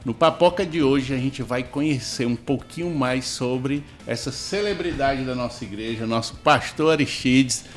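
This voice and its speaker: A man's voice: medium pitch, normal speed, hardly any pauses, soft background music. A man hosting a casual church talk show, playful and teasing as he hypes up his guest. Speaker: host.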